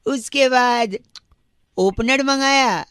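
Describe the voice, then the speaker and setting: A man's voice: very high-pitched, drawn-out words, bright, forceful tone, clear, resonant tone, no room sound, clean studio sound. A man hosting radio prank calls with playful comic banter, teasing with riddles and often cutting in. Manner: teasing, riddling, keeps interrupting. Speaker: radio prank caller and host.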